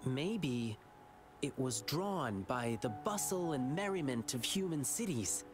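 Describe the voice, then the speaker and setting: An earnest young lion dancer from an anime game, boyish and wistful. He tells his tale slowly and carefully, with prominent music underneath. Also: medium pitch, lively intonation. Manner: earnest, measured, wistful storytelling. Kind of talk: video game story dialogue.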